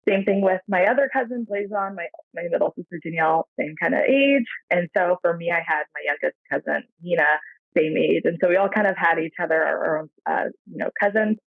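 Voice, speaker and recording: A woman's voice: on the low side, brisk, vocal fry, no echo. An American woman from the San Francisco Bay Area, a podcast guest, talking in a reflective, rambling way with lots of fillers. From San Francisco Bay Area, USA. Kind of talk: casual interview chat. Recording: tinny phone-like dry line.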